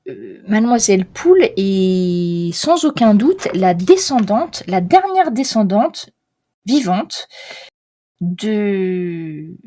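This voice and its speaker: A courteous female clock expert from Florence, voiced by a woman. She talks formally and politely but hesitates often, stalling on fillers. Her voice sits at a middle pitch. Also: big pitch swings, slow, warm tone.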